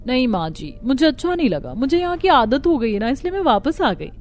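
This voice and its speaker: A woman's voice: sits high, very animated, a little fast. A woman voicing a spoiled, snappy young married daughter in a cartoon story, petulant and scolding. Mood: content. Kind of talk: Hindi cartoon story dialogue.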